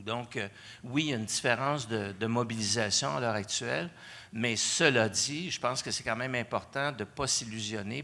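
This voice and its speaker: A man's voice: mid-range voice, creaky, pushed and bright. A middle-aged man speaking on a panel, hesitant with many restarts. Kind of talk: panel discussion.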